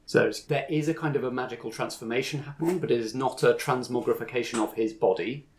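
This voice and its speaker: A man's voice: mid-range voice, steady tone, fast talker, a little mellow, slight background noise. A man acting as game master, narrating and explaining a tabletop game as he improvises it, thinking aloud.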